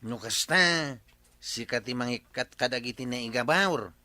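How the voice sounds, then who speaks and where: Piercing nosy Ilocano neighbour. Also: male voice, mid-range voice, measured pace, sharp tone, no room sound. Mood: chatty, prying. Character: nosy, chiding village neighbour. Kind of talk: radio comedy drama acting.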